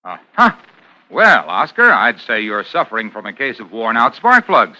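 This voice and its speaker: An actor playing a chipper, salesy doctor in a 1950s radio commercial, with punchy, emphatic patter. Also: male voice, medium pitch, wildly swooping pitch, moderate pace, pushed and bright, heavily acted. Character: chipper, salesy doctor character, an advertising pitchman. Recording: dull 1950s radio recording.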